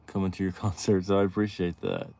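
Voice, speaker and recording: A man's voice: low-pitched, very animated, brisk, vocal fry, warm tone. A man, chatting casually as host of his own livestream, rambling and reading viewer questions aloud. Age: 30s.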